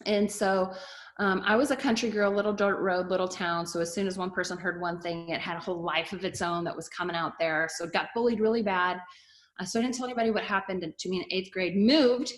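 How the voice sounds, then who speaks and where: A woman's voice: mid-range voice, steady tone, a little fast. A middle-aged woman pastor and TV host, chatting casually with an online audience and asking them to type answers. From Canada-born, raised in the US. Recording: roomy echo.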